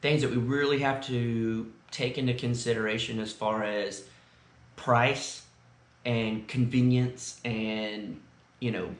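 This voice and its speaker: Güney Teksaslı, sohbet eden adam, yankılı oda. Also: male voice, mid-range voice, fairly even intonation, slow pace. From South Texas. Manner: rambling, chatty, many fillers. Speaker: vlog co-host. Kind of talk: casual chat. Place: friend's house, indoors. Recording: roomy echo.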